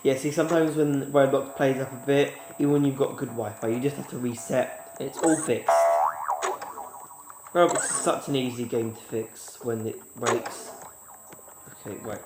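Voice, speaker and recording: A man with a cold, sniffling, chatting casually to his viewers while he plays, and shouting when things go wrong. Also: mid-range voice, moderate pace, background noise. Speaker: gaming YouTuber. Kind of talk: casual gameplay commentary. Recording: roomy with loud game music.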